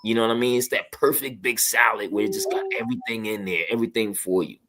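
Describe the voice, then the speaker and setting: A man's voice: lowish voice, steady tone, fast, heavy background noise. A man chatting casually to promote something, enthusiastic and slangy, often repeating words as he hypes it. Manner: enthusiastic, slangy, repetitive hype.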